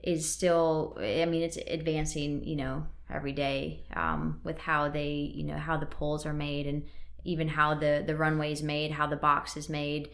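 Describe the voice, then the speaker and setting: A woman's voice: deep voice, level tone, normal speed, creaky. A woman in her thirties with a mixed Northern and Southern US accent, a podcast guest explaining at length in casual chat, with many fillers. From Wisconsin and North Carolina, US. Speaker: podcast guest, CrossFit coach and gym owner. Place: physical therapy clinic.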